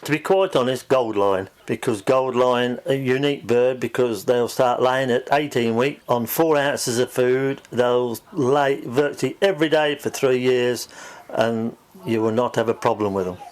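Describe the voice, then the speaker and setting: A man's voice: mid-range voice, unhurried, a little mellow. Plain-spoken man giving practical advice. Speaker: farm technician, poultry expert. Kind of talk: informal expert advice.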